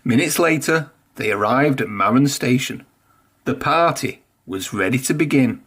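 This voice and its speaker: A man narrating a children's story aloud in an expressive read-aloud style. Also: mid-range voice, moderate pace, husky. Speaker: storybook reader.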